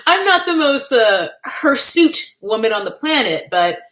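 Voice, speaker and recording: A woman's voice: fairly high pitch, very animated, a little slow, bright, forceful tone. A young adult woman hosting a casual livestream from home, chatting candidly with her viewers and laughing often. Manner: chatty and candid, with frequent laughs and playful asides. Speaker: livestream host. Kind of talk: casual livestream chat, reading the chat.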